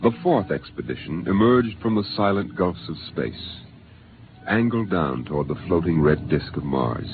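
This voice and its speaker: An actor narrating a science fiction radio drama as a solemn storyteller, in dramatic 1950s style. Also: male voice, deep voice, sing-song, moderate pace, noisy background. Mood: solemn, suspenseful. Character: solemn storyteller of a Mars expedition. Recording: narrow old radio recording.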